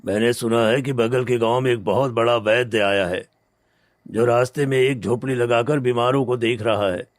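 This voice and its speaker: A man's voice: mid-range voice, steady tone, moderate pace, dry recording, clean studio sound, lightly acted. A man playing a callous, scheming elder brother and rich moneylender in a cartoon story, grave and commanding. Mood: feigned concern. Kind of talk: cartoon moral story acting.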